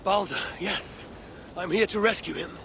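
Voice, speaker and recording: An actor playing a grieving, stubborn old Norse god in a video game, gravelly and solemn in delivery. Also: male voice, sits high, normal speed, a bit bright, a little hiss or hum. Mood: determined. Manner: solemn, archaic, commanding. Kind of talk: dramatic video game acting.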